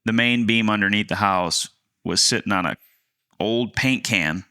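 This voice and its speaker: A man around thirty co-hosting a podcast, telling a personal story in a casual, halting, rambling way. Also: lowish voice, sharp tone, clean tone, no room sound, clean studio sound. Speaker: podcast co-host, land agent.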